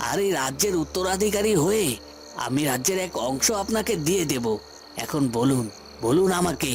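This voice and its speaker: A scheming fairy-tale villain acted by a man with a slightly high male voice. He coaxes and presses insistently, hungry for a throne. Soft music plays behind him. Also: natural voice. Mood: menacing, demanding. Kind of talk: dubbed cartoon fairy-tale acting.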